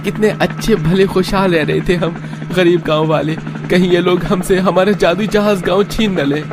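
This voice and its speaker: A man's voice: high-pitched, steady tone, moderate pace, noisy background. A man voicing a poor, weary but proud village headman in a cartoon tale, earnest and plaintive. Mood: worried.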